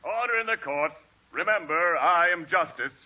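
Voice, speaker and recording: Sharp-voiced, pompous radio judge. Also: male voice, high voice, lively intonation, moderate pace, muffled recording, full character voice. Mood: indignant. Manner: pompous, formal, declamatory. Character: stern, pompous veteran male judge. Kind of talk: 1940s radio comedy acting. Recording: faintly noisy, band-limited 1940s radio recording.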